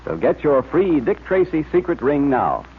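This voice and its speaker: A male American announcer from old-time radio, emphatic and polished, with a very mobile middle-pitched voice. The recording is narrow and phone-like, with clear hiss. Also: sing-song, moderate pace. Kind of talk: 1940s radio advertising and serial narration.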